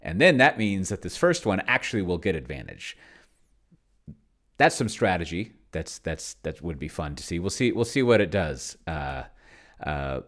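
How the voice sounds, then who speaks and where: Male gaming host, very low register with heavy fry; casual, musing tutorial commentary, halting delivery. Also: very animated, a bit bright. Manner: halting and musing, thinks aloud while typing. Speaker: host playing a tabletop roleplaying game with an AI game master.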